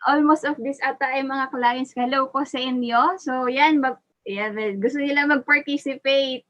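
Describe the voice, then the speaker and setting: A woman's voice: sits high, steady tone, moderate pace, a bit bright, dull audio. A woman with a Filipino accent politely hosting a casual live online webinar, thanking attendees as she goes. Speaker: webinar host. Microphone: online video call.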